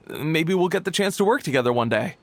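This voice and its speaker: A man's voice: fairly high pitch, animated, unhurried, background noise. A man playing an earnest, grateful event organizer in a video game, polite and effusive.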